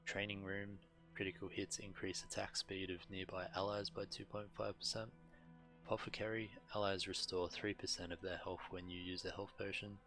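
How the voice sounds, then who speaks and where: Low, even-toned man commentating a game. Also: moderate pace, faint music behind, rough recording. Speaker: game streamer. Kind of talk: casual gameplay commentary, reading on-screen game text aloud.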